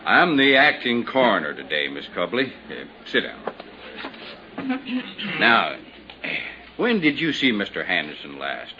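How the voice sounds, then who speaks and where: A man's voice: lowish voice, wildly swooping pitch, slow pace, long pauses, exaggerated voice. An actor playing a laconic male small-town sheriff in an old radio drama. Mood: official. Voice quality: laconic, clipped. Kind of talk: 1950s radio drama acting. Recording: dull old radio recording, faint hiss.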